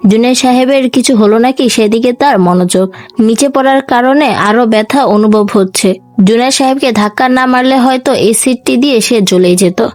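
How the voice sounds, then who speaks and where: High-pitched woman narrating a story. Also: a little mellow, no room sound. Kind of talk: scripted dramatic novel narration. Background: music.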